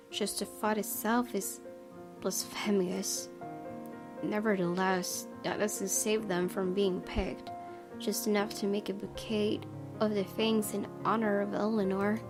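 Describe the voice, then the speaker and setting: Woman narrating evenly over music. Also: mid-range voice. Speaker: let's play host. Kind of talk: reading a visual novel aloud, dramatic narration.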